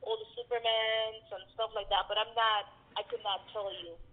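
A woman's voice: a little high, flat delivery, normal speed. A woman from Cuba in her thirties, a guest speaking candidly in a casual interview and laughing easily. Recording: tinny phone line.

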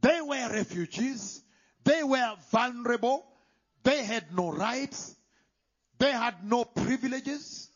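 A man preaching a sermon, emphatic, moving between loud declarations and hushed whispers. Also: very high voice, slow. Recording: dull lo-fi sound.

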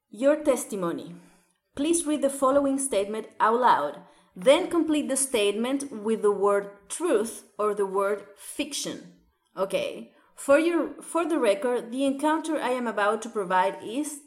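A woman from Chile with a Chilean accent, reading a survey aloud and then telling her own story with ums and uhs. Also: mid-range voice.

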